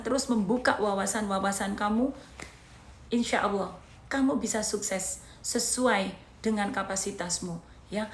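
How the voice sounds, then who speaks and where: Javanese accent woman, 30s, pushy motivational vlog, repeats stuff in lists, roomy sound with faint hiss. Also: mid-range voice. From Indonesia. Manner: emphatic, repeats points in lists. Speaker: motivational vlogger. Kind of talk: motivational talk to camera.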